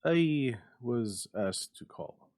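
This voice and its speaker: Man acting solemn, halting company messenger. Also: mid-range voice, animated, pitched above the performer's own voice. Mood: uneasy. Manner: quiet, halting, formal. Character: solemn, uneasy company messenger bearing bad news.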